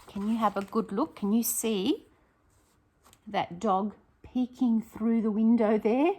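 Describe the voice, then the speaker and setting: A woman, a primary teacher reading a picture book aloud to young children, expressive and encouraging, with chatty questions to her listeners. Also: fairly high pitch, slow.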